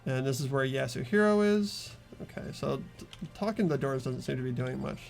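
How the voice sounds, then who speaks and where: A man's voice: mid-range voice, lively intonation, fairly quick, gravelly, a little mellow, soft background music. A man hosting a video game playthrough, talking casually as he explains things to the group. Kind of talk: casual let's-play commentary.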